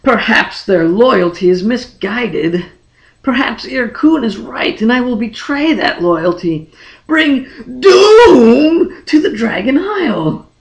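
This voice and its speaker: A man's voice: very high-pitched, sing-song, takes it slowly, creaky, dull audio, exaggerated voice. A man voicing a brooding, melancholy young emperor in a fantasy audiobook, grave, formal and fatalistic. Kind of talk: dramatic fantasy audiobook character reading.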